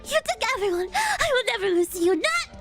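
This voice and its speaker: A woman voicing an enraged, grieving young girl, screaming defiantly in a shrill, tearful voice over loud music. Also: big pitch swings, brisk. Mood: furious, anguished.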